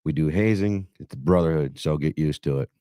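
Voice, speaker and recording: A man hosting a podcast in brash, profane banter, his voice hoarse and raspy after being sick. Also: rumbling low, brisk, mellow, no echo, studio quality. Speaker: podcast host. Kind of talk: casual crude banter.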